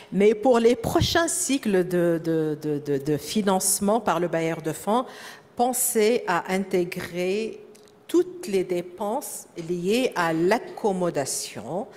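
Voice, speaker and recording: Woman, French-speaking accent, conference presenter, lowish voice, many fillers and repeated words, reverberant hall. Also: a little mellow. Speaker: presenter at a professional development session. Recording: echoey hall.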